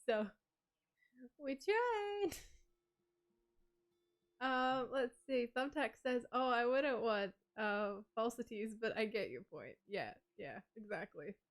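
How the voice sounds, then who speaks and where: A woman's voice: a little high, animated, takes it slowly, pauses often. A woman chatting casually on a livestream, rambling with lots of "like" and "um" and laughing often as she reads out viewer messages.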